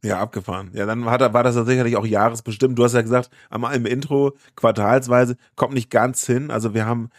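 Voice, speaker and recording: A man's voice: medium pitch, fairly even intonation, fairly quick, hardly any pauses, no room sound, studio quality. A young man with a cold, co-hosting a podcast in a laid-back way and laughing easily. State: has a cold. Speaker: podcast co-host. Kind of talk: casual podcast chat.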